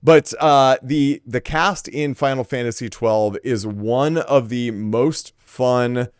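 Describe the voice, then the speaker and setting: A man speaks as a podcast co-host in a smooth, resonant voice of medium pitch. His delivery is casual and enthusiastic, full of informal filler like a chat among friends. The sound is dry, with no room echo. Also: takes it slowly.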